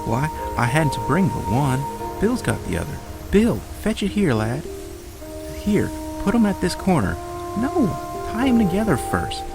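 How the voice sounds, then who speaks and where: Man doing squeaky-high, bossy little animal workmen. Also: wildly swooping pitch, a little slow, vocal fry, heavily acted. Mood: bustling, bossy. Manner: bustling, shouting instructions. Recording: loud music under the voice.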